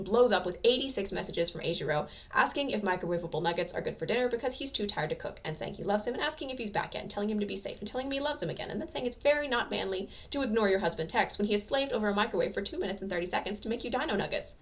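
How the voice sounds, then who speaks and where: A woman's voice: mid-range voice, animated, rapid-fire, few pauses. A woman narrating fan fiction as an amateur audiobook reader, in a scripted storytelling style.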